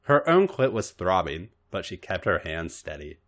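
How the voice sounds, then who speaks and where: Low-pitched man narrating with sweeping intonation. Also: sing-song, normal speed, fairly bright tone. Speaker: podcast story reader. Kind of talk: expressive scripted fiction narration.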